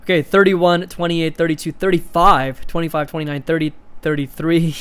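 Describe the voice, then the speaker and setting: A man's voice: on the high side, flat delivery, moderate pace, smooth. A man in his twenties from India, hosting a livestream and casually chatting as he answers viewers' comments. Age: 20s. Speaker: livestream host of an investing channel.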